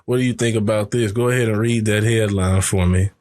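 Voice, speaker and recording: A man's voice: lowish voice, steady tone, a little fast, darkish tone. Laughing young man telling stories. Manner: laughing storyteller doing voices of people he quotes. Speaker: podcast host. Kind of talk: casual chat.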